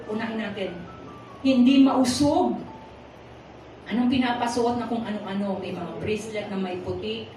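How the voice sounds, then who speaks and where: A Filipino-accented woman preaches in a chatty, teasing way, tossing questions at her listeners. Her voice is fairly high. It comes from a distance in a very echoey hall. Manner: animated and teasing, puts rhetorical questions to the congregation. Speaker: preacher at a Sunday worship service. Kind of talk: conversational sermon mixing Tagalog and English. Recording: echoey hall from far off, noisy.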